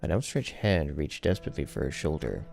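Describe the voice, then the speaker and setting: A man narrates an audiobook in a very deep voice with heavy vocal fry. His serious, dramatic reading moves through a wide range of pitch. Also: sing-song, brisk, a little mellow, soft background music.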